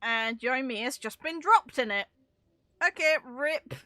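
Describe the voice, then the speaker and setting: A woman's voice: high voice, lively intonation, takes it slowly, pushed and bright, dry recording. A woman giving casual, chatty reaction commentary on video game dialogue, with informal slang and mild swearing. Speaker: streamer reacting to game voice lines.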